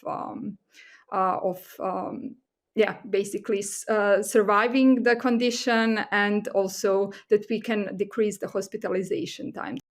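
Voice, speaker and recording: A woman with a Croatian accent speaking as a guest expert in a conversational interview, explaining things in a hesitant way. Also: mid-range voice. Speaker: guest expert, assistant professor.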